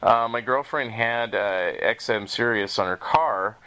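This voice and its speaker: A man's voice: lowish voice, measured pace, sharp tone. A man hosting a podcast interview in casual, conversational talk, given to chuckling as he speaks.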